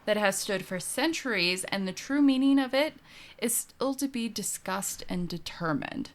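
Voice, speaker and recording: A young woman co-hosting a casual podcast, soft-spoken, laughing often and trailing off mid-sentence. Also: mid-range voice, animated, normal speed. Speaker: podcast co-host. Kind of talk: casual chat.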